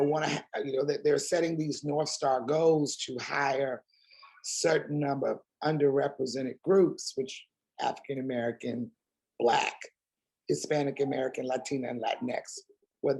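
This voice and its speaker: Interview guest: woman, raspy and husky, emphatic and direct. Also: very deep voice, darkish tone. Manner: emphatic, asks pointed rhetorical questions. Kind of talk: candid conversational interview.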